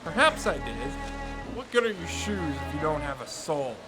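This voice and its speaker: Over loud music, a man acts out a cocky young detective in an audio drama. His voice sits a little high, and he speaks with theatrical, dogged self-assurance. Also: sing-song, moderate pace. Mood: triumphant accusing.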